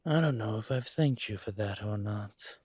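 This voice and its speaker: A man playing a suave, courteous dragon mafia boss in an intimate roleplay audio, with a husky, breathy voice and a gentle, teasing formality. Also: fairly low pitch, moderate pace, no room sound. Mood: warm, sincere.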